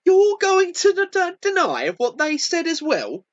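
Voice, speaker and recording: Amateur actor doing a bossy accusing british passenger in a radio play, sarcastic, super animated, bit muffled. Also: very high voice, measured pace, full character voice. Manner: sarcastic, scolding. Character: indignant, accusing, no-nonsense London Tube passenger.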